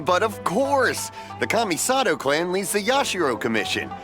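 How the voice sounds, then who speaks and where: An actor playing a friendly, generous middle-aged stallkeeper in a video game, with a hearty, folksy manner. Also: male voice, high voice, huge pitch swings, moderate pace, music in the background. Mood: jovial, admiring. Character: friendly, generous older festival stallkeeper, man.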